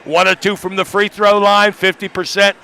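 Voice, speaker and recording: A man's voice: sits high, flat delivery, moderate pace, pushed and bright, clean tone. A man reading out team statistics as an analyst on a sports broadcast.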